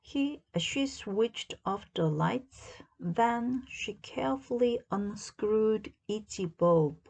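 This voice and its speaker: A woman reading a children's story aloud as narrator, in a deliberate, expressive storytelling manner. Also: mid-range voice, vocal fry, low-quality recording. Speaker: storybook reader.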